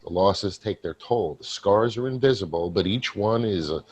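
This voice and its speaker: A man in a staged play reading, playing a dead coworker's wry, weary ghost who speaks in a halting, reflective way. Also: on the low side, a little mellow, slightly rough, highs cut off. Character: apparition of dead male coworker, wry, weary, darkly joking.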